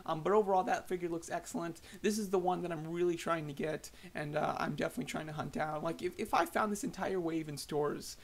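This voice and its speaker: A slightly hoarse man giving casual, rambling voice-over thoughts on collectible figures, with many fillers and restarts. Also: a little high, fast talker, few pauses. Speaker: toy collector vlogger.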